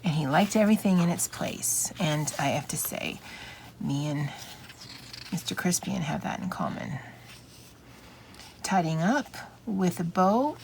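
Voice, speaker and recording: A woman's voice: lowish voice, measured pace, long pauses, rough recording. A woman chatting casually as she comments on a craft flip-through, musing informally with wry asides. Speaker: craft channel host. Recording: clear steady background noise.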